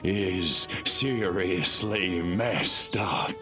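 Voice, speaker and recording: Slow-talking, cocky alien invader. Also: male voice, deep voice. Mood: sardonic. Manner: wisecracking, sarcastic drawl. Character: cocky, sardonic little male alien invader. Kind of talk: video game cartoon acting. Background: music.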